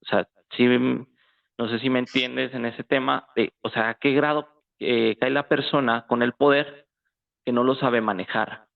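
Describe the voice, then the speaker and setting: A man with a Mexican accent talks informally as a guest on a thin, phone-like line. His medium-pitched voice has a gravelly fry, and he often gropes for the next word. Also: steady tone, measured pace. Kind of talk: casual political discussion. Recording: tinny, phone-like call audio.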